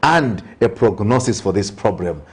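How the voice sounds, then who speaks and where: A husky male voice with a Zambian accent, talking as a priest invited onto an interview programme. He speaks earnestly and conversationally, repeating phrases for emphasis as he offers social commentary with a preacherly touch. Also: fairly low pitch, animated, normal speed.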